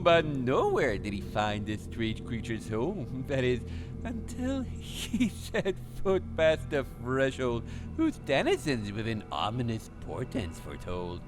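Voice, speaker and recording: A high, wildly swooping voice, slow and relishing every rhyme, a ghost trapped in a spinning record. He chuckles through his verse in a radio play, with music running underneath. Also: full character voice. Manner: rhyming, theatrical, laughing through lines. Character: cackling ghostly male storyteller trapped in a record.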